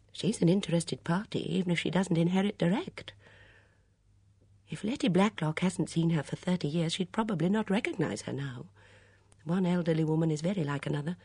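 A woman voicing a shrewd, gentle elderly English spinster in an audiobook, with a mild, absent-minded murmur. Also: on the low side, vocal fry, slightly rough, no echo, voice pushed up, exaggerated voice.

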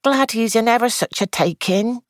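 A woman's voice: on the high side, normal speed, no room sound, studio quality, voice pushed up, natural voice. A woman voicing a young, anxious housemaid who rambles on in breathless, deferential gossip. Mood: fretful.

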